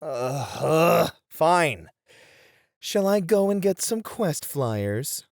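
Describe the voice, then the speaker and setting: Guy doing a grumpy responsible warrior for fantasy roleplay audio, very animated, dry close recording. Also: on the high side, very slow, gravelly, dry recording, heavily acted. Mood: reluctant. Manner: exasperated, grumbling, earnest. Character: gruff, grumbling, responsible muscular male warrior adventurer.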